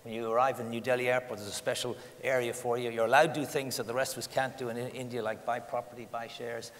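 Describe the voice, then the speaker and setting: A man's voice: mid-range voice, level tone, quick pace. A middle-aged Irish man answering interview questions as a consultant, fluent and full of anecdotes with quoted dialogue. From Ireland. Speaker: guest, diaspora consultant. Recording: echoey hall with faint ambience.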